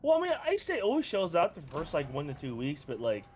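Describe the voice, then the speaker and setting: A man's voice: a little high, huge pitch swings, fairly quick, dull audio. A young American man in casual, emphatic chat, repeating words for emphasis. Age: young adult. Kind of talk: casual chat, swearing banter. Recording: faint background noise.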